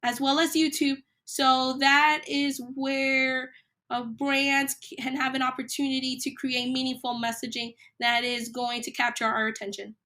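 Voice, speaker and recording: A woman hosting a podcast, going through her points one by one in an earnest way, slightly raspy from a cold. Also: high voice, level tone, unhurried, pushed and bright. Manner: earnest, list-like delivery of points. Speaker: podcast host. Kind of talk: solo podcast talk, partly read.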